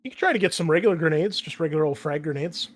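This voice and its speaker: A man's voice: a little high, expressive, rapid-fire. A man explaining tabletop game rules casually as game master, thinking aloud as he goes. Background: background music.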